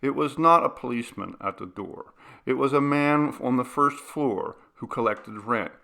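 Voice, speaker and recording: A male audiobook narrator reads a dramatic horror story. His voice has a gravelly creak and a sharp, forceful edge. The phrasing is deliberate and suspenseful, at a middling pitch.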